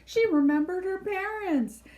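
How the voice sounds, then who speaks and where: A woman reading a children's story aloud in an expressive, measured storytelling style, with deliberate phrasing. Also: very high voice, rough recording. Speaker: library storybook reader. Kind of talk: expressive scripted read-aloud narration for children.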